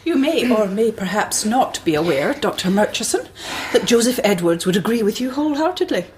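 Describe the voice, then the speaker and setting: An actress in a radio drama playing a cautious, earnest hospital colleague who speaks formally and precisely, with prim reproof. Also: female voice, medium pitch, big pitch swings, brisk, noisy background, natural voice. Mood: icily reproving.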